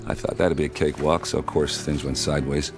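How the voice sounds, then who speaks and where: An actor playing a gruff, world-weary warrior captain in a video game, laid-back and wry. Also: male voice, extremely low pitch, flat delivery, fast talker. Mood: rueful. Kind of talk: video game character acting.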